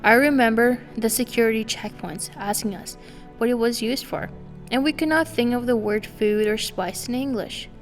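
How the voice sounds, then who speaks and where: A woman in her twenties, born in Romania and a native Romanian speaker, calmly reading her own story as scripted narration. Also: fairly high pitch, normal speed. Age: 20s. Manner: calm, reflective, read aloud line by line. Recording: long reverb with faint music behind.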